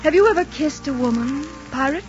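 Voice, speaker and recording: A woman's voice: sits high, expressive, fairly quick. An actress playing a proud, romantic young lady in a 1940s radio drama. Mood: wistful, daring. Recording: muffled 1940s radio recording, loud music.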